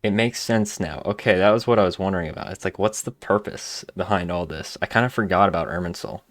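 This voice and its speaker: A man's voice: low voice, fast talker, dry recording. A man doing casual game commentary, informally musing aloud as he plays. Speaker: gaming video host. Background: faint game music.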